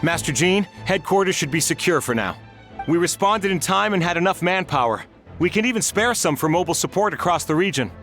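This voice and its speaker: An actor playing an earnest, dutiful young knight in a video game, reporting briskly. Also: male voice, high voice, expressive, a bit bright, faint music behind.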